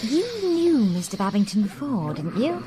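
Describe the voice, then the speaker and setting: An actress playing an inquisitive, well-bred young English amateur sleuth in a radio drama, speaking politely in an upper-class accent. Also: female voice, medium pitch, big pitch swings, moderate pace, crowd noise, natural voice. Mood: probing. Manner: polite, probing.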